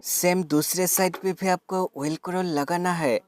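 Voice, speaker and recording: A man's voice: high-pitched, steady tone, measured pace, darkish tone, soft background music. A man narrating a product unboxing and tutorial as a tech reviewer, explaining things methodically step by step.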